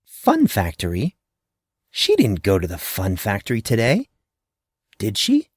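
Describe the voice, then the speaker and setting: A man's voice: lowish voice, huge pitch swings, unhurried, breathy edge, dry recording. A man narrating a fantasy story dramatically, in short, suspenseful phrases.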